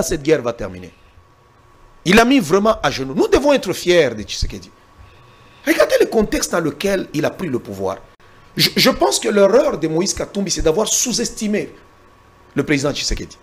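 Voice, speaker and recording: Male guest from Congo, emphatic debater with a husky, animated voice. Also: sits high, moderate pace, long pauses. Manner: emphatic, repeats words when pressed, cites the Bible. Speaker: interview guest. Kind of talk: heated political debate.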